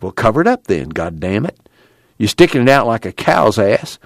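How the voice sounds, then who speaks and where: A man's voice: fairly low pitch, sing-song, moderate pace, no room sound, studio quality. Man doing a brash, impatient young country working man. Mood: blunt, needling. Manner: folksy, blunt, profane rural speech. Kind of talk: acted audiobook dialogue.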